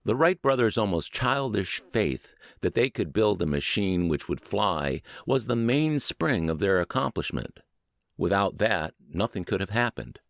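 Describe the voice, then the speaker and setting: A man narrates an audiobook in a lowish voice that rises and falls expressively. His phrasing is deliberate and emphatic, as he reads from a prepared text. The sound is dry, with no audible room. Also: creaky, dry recording. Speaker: audiobook narrator and podcast host. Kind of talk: scripted audiobook narration of an old book.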